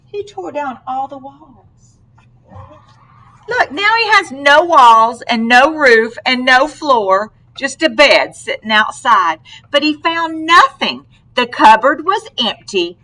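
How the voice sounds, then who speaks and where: Brief: female storyteller for a children's read-aloud, bright, fairly high voice, expressive, asks the listener questions. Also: slow, low-quality recording.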